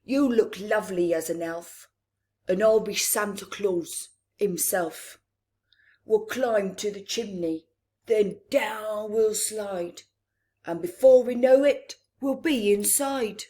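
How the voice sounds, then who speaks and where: A woman's voice: mid-range voice, slow pace, dark tone, lightly acted. A woman playing a sly male burglar in a storybook, speaking in a conspiratorial manner. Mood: scheming. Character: sly male burglar plotting a Christmas break-in.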